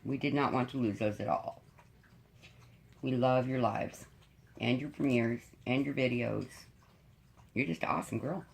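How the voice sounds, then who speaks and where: A middle-aged woman with a cold, sounding raspy, deep and congested, chatting casually to her viewers from home. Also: unhurried, pauses often. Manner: chatty, rambling, frank. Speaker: diamond painting YouTuber.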